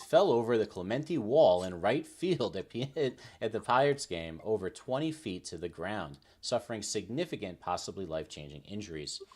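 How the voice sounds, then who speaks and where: A man on a sports talk show delivering commentary and updates as a panelist. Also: on the low side.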